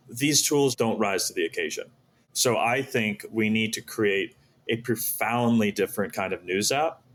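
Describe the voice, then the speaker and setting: Male interview guest, fairly low voice, earnest explainer who talks through his ideas as a founder would. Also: a bit bright, slight background noise. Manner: earnest, explanatory, asks and answers his own questions. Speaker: podcast guest, startup founder.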